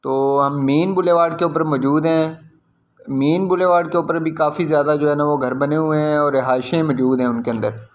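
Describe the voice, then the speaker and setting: A man's voice: fairly high pitch, steady tone, normal speed, highs cut off. A man with a Pakistani accent giving informal, explanatory commentary on a property site visit as a real estate video host.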